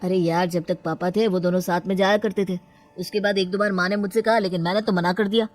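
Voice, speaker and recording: A woman's voice: medium pitch, steady tone, fast talker, natural voice. A woman voicing a sulky young newlywed husband who feels neglected, in an audio story. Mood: aggrieved. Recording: music under the voice.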